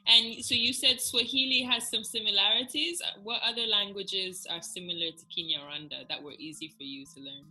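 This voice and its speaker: Bright, sharp-toned woman as an upbeat interviewer, soft music underneath. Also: medium pitch, moderate pace, few pauses, low-quality recording. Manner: enthusiastic, warm reactions with hesitations. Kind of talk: casual interview.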